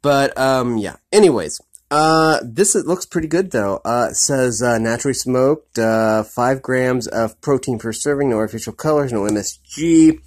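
A man talks through a snack review from behind his phone camera, hesitating with frequent ums and restarts. His voice sits at an ordinary middle pitch, and he circles back to the same praise over and over in an easygoing, unscripted way. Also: moderate pace, hardly any pauses. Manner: hesitant, many ums, repeats "really, really good". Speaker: product reviewer. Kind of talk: casual food review vlog.

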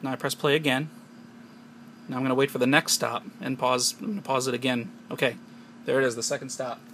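Bright-voiced American man giving a tutorial. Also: mid-range voice, level tone, brisk. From United States. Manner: explanatory, step-by-step instruction. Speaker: tech tutorial presenter. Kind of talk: unscripted hands-on tutorial narration. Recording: noisy amateur handheld camera audio.

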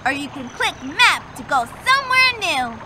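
A woman's voice: sits high, big pitch swings, moderate pace, heavy background noise. A woman voicing a cheerful young girl explorer in a children's video game, talking to the player and encouraging them. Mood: guiding.

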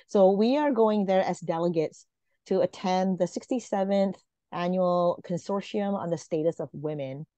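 A woman's voice: on the low side, moderate pace, warm tone, no room sound. A woman in her forties from Malaysia, a guest talking earnestly on a video call with many fillers and restarts. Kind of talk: casual interview talk. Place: home room, video call. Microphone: video call webcam. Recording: dull lo-fi video call audio.

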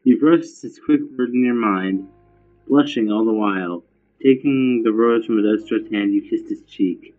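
A man's voice: lowish voice, moderate pace, soft background music. A man narrating fan fiction aloud in a casual style, with joking asides. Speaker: fan fiction reader and commentator. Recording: thin, phone-like lo-fi audio.

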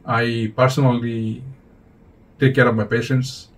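A man in his forties from Bangladesh, a doctor, answering interview questions plainly and calmly in an office, with slightly English. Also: medium pitch. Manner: plain, measured, slightly non-native English. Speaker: physician, interview guest.

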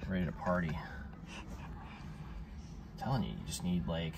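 A man's voice: sits low, normal speed, gravelly, background noise. A man chatting casually as he works on a car installation, laid-back and slangy. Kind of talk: casual chat while working.

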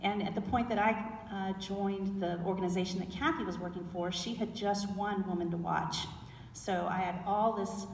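A middle-aged woman from South Carolina with a Southern accent. She is a guest in an onstage fireside chat and talks in a relaxed, chatty way, with fillers and restarts. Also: medium pitch, normal speed, a little hiss or hum. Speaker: panel guest. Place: large indoor event hall with an audience. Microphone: handheld mic, recorded by a far camera. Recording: roomy hall echo recorded from far off.

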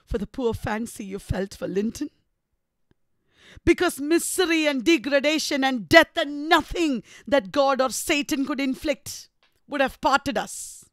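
A tormented, savage male lover from a classic novel, acted out by a woman. The delivery is impassioned and accusing, high in pitch, with drawn-out pacing. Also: expressive, no echo. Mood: accusing, heartbroken. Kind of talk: dramatic reading of a classic novel.

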